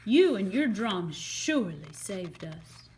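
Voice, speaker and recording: A woman's voice: mid-range voice, big pitch swings, slow, a little mellow, slight background noise, pitched below the performer's own voice. A woman voicing a big, protective, fatherly male soldier in a storybook, urging and encouraging as he talks. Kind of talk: storybook character reading.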